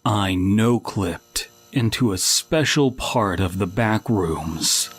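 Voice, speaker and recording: A man hosting a podcast, reading a comic first-person horror story in a deadpan manner. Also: fairly low pitch, takes it slowly, soft background music. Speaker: podcast host and story reader.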